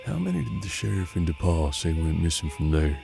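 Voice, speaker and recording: A man playing a seasoned, pragmatic bounty hunter in a western audio drama, with measured, folksy frontier talk. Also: low voice, big pitch swings, vocal fry, warm tone, faint music behind, exaggerated voice. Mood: intent, businesslike. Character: seasoned male bounty hunter detective of 1870s Kansas, steady, pragmatic.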